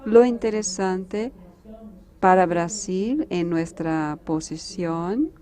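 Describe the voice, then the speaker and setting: A Brazilian woman diplomat, a native Portuguese speaker, speaking with a Brazilian accent at a conference panel, measured and halting with restarts. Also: on the low side, dark tone.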